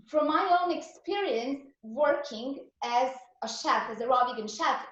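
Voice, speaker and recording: A woman's voice: high-pitched, fairly bright tone, heavy reverb, muffled recording. A woman from Serbia with a Serbian accent, a raw vegan chef guiding a cooking class in an earnest, encouraging way. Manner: earnest, encouraging, gives step-by-step instructions.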